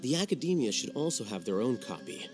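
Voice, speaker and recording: A man playing a composed, aloof young scholar in a video game, speaking in a measured, matter-of-fact and articulate way. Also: medium pitch, huge pitch swings, music in the background. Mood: cool, businesslike. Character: composed, aloof young male scholar, calculating and self-assured.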